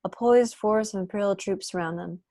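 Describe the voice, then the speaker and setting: A woman's voice: mid-range voice, normal speed, warm tone, dry recording, studio quality. Young lady reading out script directions on a video call, mid voice, narration style. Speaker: stage directions reader. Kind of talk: scripted narration at a table read. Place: room at home, video call.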